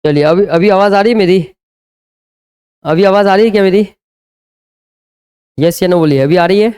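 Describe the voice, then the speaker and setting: High-voiced man teaching by call and answer. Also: moderate pace, a little mellow, smooth. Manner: drills students with repeated call-and-answer questions. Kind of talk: live online grammar lesson.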